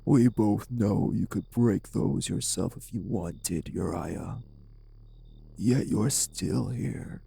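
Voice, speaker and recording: A man playing a refined, bitter, possessive vampire sire in an audio drama, with formal, deliberate, menacing diction. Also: on the low side, gravelly, mellow, faint music behind, heavily acted. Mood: cold, controlled. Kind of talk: dramatic audio roleplay acting.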